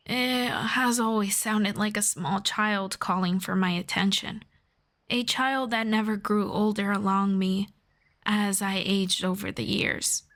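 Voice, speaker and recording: A woman playing a gentle, earnest elf friend in a soft-spoken, measured ASMR fantasy roleplay. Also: mid-range voice. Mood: gentle, reflective. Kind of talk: slow scripted ASMR roleplay.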